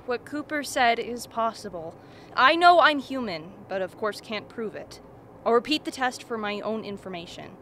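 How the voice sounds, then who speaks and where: An actress playing a coolly analytical scientist in a radio play, formal and measured with a dry wit. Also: female voice, fairly high pitch, lively intonation, a bit bright, a little hiss or hum. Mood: cool, detached.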